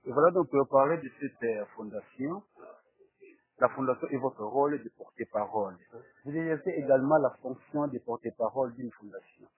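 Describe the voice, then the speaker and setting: A man hosts an interview. His voice is husky and his phrasing formal and halting. The sound is narrow and tinny, as if over a telephone. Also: mid-range voice. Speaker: talk show host and interviewer.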